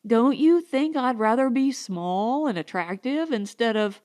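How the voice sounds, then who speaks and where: A woman's voice: on the high side, lively intonation, unhurried, a little mellow. A woman voicing a resentful, challenging young colonist wife in a story. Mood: bitter, resentful. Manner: taunting, challenging.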